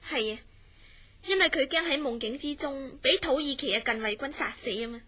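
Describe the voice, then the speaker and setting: A woman's voice: sits high, brisk, pushed and bright. A woman playing a concerned young visitor in a horror radio drama, speaking earnestly and theatrically. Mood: concerned, polite.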